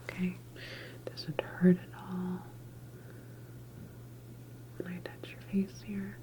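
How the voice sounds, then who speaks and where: A woman whispering softly and breathily in an ASMR medical roleplay as a calm, reassuring doctor examining a patient. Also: on the low side, low-quality recording. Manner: gentle, unhurried, reassuring bedside manner. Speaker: ASMR performer playing a government facility doctor.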